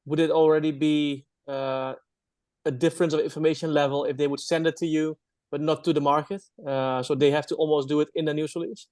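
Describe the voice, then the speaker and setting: Male interviewer with a slightly high pitch and very dry sound, putting questions conversationally. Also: level tone, brisk, a little mellow, no echo.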